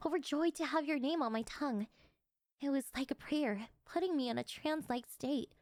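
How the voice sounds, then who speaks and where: A woman's voice: sits high, darkish tone, no room sound, clean studio sound. A woman playing an obsessive, worshipful young cleric who leads a cult, speaking intimately and fervently to one listener in an anime-style yandere ASMR roleplay. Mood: adoring, fervent.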